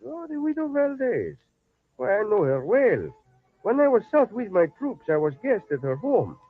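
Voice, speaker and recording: Hearty general, high swooping voice, radio drama on a tinny vintage recording. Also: male voice, huge pitch swings, moderate pace, exaggerated voice. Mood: hearty, eager. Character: hearty, obliging Mexican general. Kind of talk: old-time radio drama acting. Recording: tinny old radio recording, faint music.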